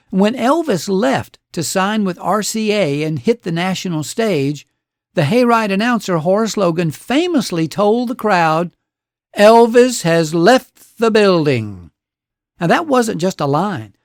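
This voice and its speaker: A man's voice: high-pitched, dry recording, clean studio sound. A man hosting a radio show, narrating a scripted story with folksy, dramatic phrasing.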